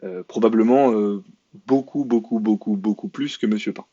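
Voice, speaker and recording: A man's voice: fairly low pitch, normal speed, mellow, dry recording. A French-born man talking through a sarcastic commentary monologue, full of fillers and restarts. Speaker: video commentator. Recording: dry, slightly dull lo-fi sound.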